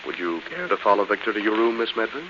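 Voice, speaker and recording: An actor playing a brisk, businesslike young male secretary in a 1940s radio drama, curt and formal. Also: fairly low pitch, sing-song. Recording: muffled, noisy 1940s radio recording.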